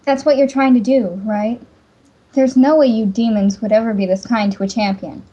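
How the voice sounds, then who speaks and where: Woman voicing a high, soft-toned blunt young princess. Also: fairly even intonation, moderate pace, lightly acted. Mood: suspicious. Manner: blunt, questioning. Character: frank, wary young princess champion. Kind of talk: fan dub of a fantasy game. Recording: dull microphone, faint music behind.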